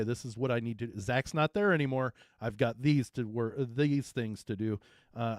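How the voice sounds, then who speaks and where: A deep-voiced man talks sports in a loose, rambling way, restarting sentences and then pressing a point hard. His voice sits at an ordinary pitch and is recorded close and dry, with no room sound around it. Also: no echo. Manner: rambling, restarts often, emphatic. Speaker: co-host, former coach. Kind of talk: casual sports talk.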